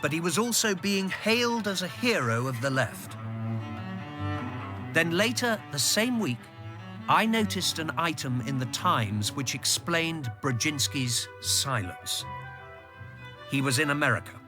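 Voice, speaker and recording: A man acting a loyal political insider who narrates a radio drama in retrospect, in a measured storytelling manner. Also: fairly high pitch, expressive, music in the background. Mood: reflective, intent.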